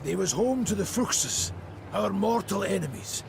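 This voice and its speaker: A man playing an earthy, blustering dwarf clansman in a video game, with a Scottish accent and a gravelly voice. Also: sits high, lively intonation, unhurried, background noise, full character voice. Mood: defensive. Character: crude, evasive dwarf clansman confessing uneasily. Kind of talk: scripted video game voice acting.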